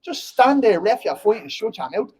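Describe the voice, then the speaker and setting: A man's voice: high-pitched, sing-song, normal speed, a little mellow. A man in his twenties with a Dublin Irish accent, talking bluntly and heatedly at a post-fight press conference, rambling and often asking "you know what I mean". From Ireland. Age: 20s.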